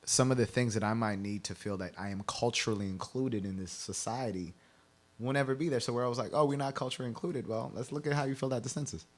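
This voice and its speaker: A man's voice: mid-range voice, quick pace. A man from Kenya talking in a conversational radio interview, explaining things and often checking in with "right?". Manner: explanatory, rhetorical "right?" tags. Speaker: guest explaining the census.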